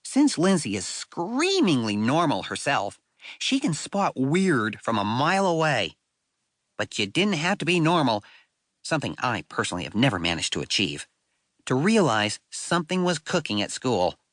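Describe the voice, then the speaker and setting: Wry schoolboy storyteller, played by a man, animated. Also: mid-range voice, normal speed, dry recording, clean studio sound. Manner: wry, conversational, expressive. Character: wry, self-deprecating sixth-grade human boy narrator. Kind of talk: first-person audiobook storytelling.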